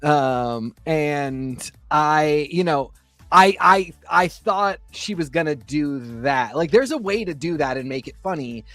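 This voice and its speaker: A man's voice: on the high side, takes it slowly, a bit bright, no echo. A man co-hosting a casual review chat, talking loosely with many fillers. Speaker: podcast co-host.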